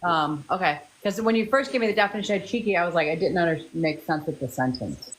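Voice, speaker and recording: A woman's voice: lowish voice, fast talker, slight background noise, rough recording. A woman hosting a casual live stream, greeting viewers by name and chatting with her guests. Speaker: host.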